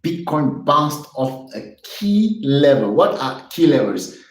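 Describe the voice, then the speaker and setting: A man's voice: fairly high pitch, sing-song, slow, echoey room, rough recording. A young man from Cameroon streaming live, chatting with viewers and explaining charts in an upbeat, repetitive way. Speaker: trading streamer.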